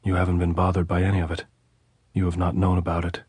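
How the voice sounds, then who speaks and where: A weary soldier speaks softly and tenderly to his sleeping lover, as a man voices him. His voice is very deep and husky, low and close. The words come measured and calm. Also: no room sound. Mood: quiet tender.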